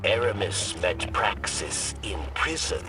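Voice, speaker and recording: An actor playing a solemn, wary alien warrior ally in a video game, with halting, deliberate phrasing and a gravelly voice. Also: male voice, lowish voice, distant mic, loud music behind the voice. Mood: grave, urging. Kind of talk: video game dialogue acting.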